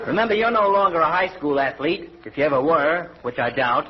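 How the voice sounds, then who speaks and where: An actor playing a grumpy, pompous American town doctor with sardonic wit in a 1940s radio comedy. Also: male voice, on the high side, expressive, natural voice. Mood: scolding. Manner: pompous, sardonic, deliberate phrasing. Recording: noisy, muffled old radio broadcast.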